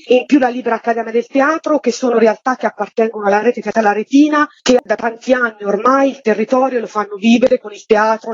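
Woman, husky voice, enthusiastic chatty interviewee, slightly dull dry audio. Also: a little high, darkish tone, no room sound. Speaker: theatre festival curator, interview guest. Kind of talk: informal promotional radio interview.

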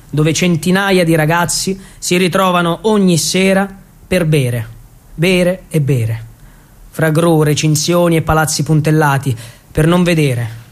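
An Italian man performing scripted theatrical narration for radio, in a declamatory, deliberate storytelling manner. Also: a little high. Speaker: actor and narrator of a theatre monologue.

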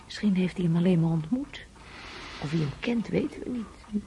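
An anxious, hospitable mother in a radio drama. Her voice swings widely in pitch and has a creaky edge, with coaxing, expressive phrasing over faint background noise. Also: moderate pace. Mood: anxious, hushed. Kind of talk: Dutch radio play acting.

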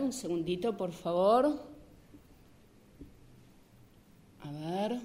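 A defiant, exasperated woman driver played by a woman in a radio play, with a fairly high voice and a Rioplatense Spanish accent. She answers back to authority as an ordinary citizen who will not be pushed around. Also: darkish tone. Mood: calm, distracted. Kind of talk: improvised radio drama exercise.

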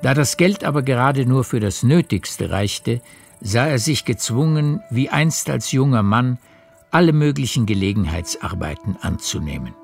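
A man narrating a literary radio play as a measured storyteller. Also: mid-range voice, expressive, vocal fry, faint music behind.

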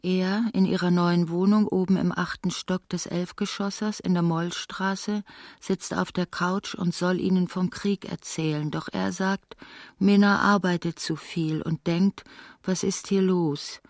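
A woman's voice: fairly low pitch, steady tone, measured pace, a little mellow, no echo, clean studio sound. A woman narrating a literary radio play as a scripted story.

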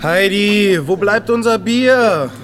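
A casual young best mate with a wry streak in a radio drama. His voice runs fairly high, with music clearly behind. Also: takes it slowly. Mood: sardonic. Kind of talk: naturalistic radio drama acting.

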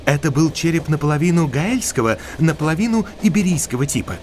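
An actor playing a talkative, learned country doctor with a scholarly, discursive manner in an audio drama. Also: male voice, on the high side, expressive, fast talker, a little hiss or hum, natural voice. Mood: pedantic, enthusiastic.

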